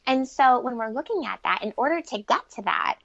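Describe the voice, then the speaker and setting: A woman's voice: fairly high pitch, expressive, brisk, creaky, fairly bright tone, highs cut off, soft background music. A woman giving earnest, fluent explanations as a relationship expert being interviewed, speaking conversationally at length. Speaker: guest relationship expert and author.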